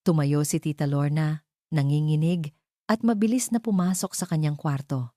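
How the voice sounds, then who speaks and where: Low-pitched woman narrating a dramatic story. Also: moderate pace, dark tone, no echo, studio quality.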